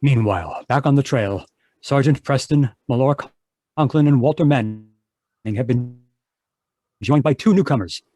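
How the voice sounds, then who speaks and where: A man narrates an old-time radio adventure in a mid-pitched voice. The sound is close and dry, with a scripted storytelling delivery. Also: moderate pace, long pauses, dark tone, no echo. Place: home, live online video performance.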